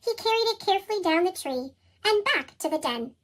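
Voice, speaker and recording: Female storybook narrator, chipmunk effect, extremely high pitch, very lively and expressive read-aloud. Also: brisk.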